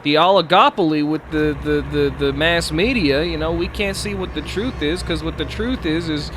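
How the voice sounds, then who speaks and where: Earnest, halting man over loud vehicle noise. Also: fairly high pitch. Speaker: streamer. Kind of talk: casual chat over a game's CB radio. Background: truck and road sounds.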